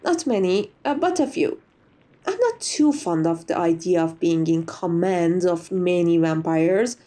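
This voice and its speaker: A woman's voice: lowish voice, expressive, a little slow, dark tone. A woman playing a sociable, gracious vampire who chats in a genteel, slightly formal way, as an ASMR roleplay. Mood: amused, chatty. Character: sociable, chatty, gracious old female vampire being interviewed.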